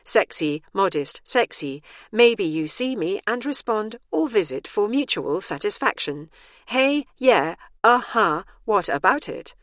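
A woman's voice: mid-range voice, animated, measured pace, fairly bright tone, no echo, voice pushed up. A lovesick male insectoid alien, acted by a woman, pleading in stilted, broken phrases. The storybook suitor is pushy and lusty, recorded close and dry. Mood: cocky flirting.

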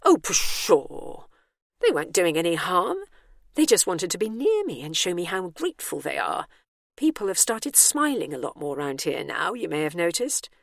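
A woman's voice: fairly low pitch, very animated, normal speed, gravelly, dry recording, clean studio sound, heavily acted. A woman voicing Bernice, a cheeky older heroine who is smug and breezy.

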